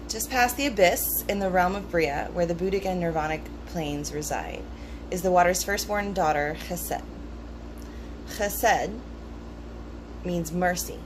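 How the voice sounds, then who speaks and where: A woman in a casual, rambling talk, part reading aloud and part musing, relaxed and thoughtful with frequent ums and pauses. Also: lowish voice. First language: English. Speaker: vlogger discussing esoteric teachings. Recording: noticeable background hum.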